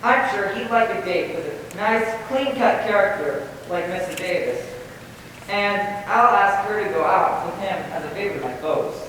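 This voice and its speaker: A man's voice: animated, normal speed, fairly bright tone, far from the mic. A man playing an eager, scheming teenage schoolboy in a radio comedy, with a conspiratorial manner. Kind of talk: 1940s-style radio comedy stage acting. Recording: echoey hall from afar, rumble, faint noise.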